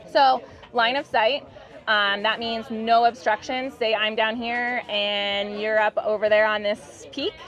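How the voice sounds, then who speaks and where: A woman's voice: on the high side, fairly even intonation, unhurried, fairly bright tone. A woman explains a product as a company representative, in an upbeat, informal, explanatory way. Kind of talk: explanatory product demo in an interview. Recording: muffled band-limited audio with music behind. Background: background music.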